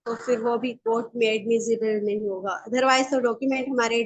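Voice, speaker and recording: Higher-pitched woman giving a lesson. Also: steady tone, moderate pace, lo-fi sound. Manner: code-switches into English terms, often checks understanding. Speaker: law lecturer. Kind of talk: informal explanatory lecture, reading and explaining text.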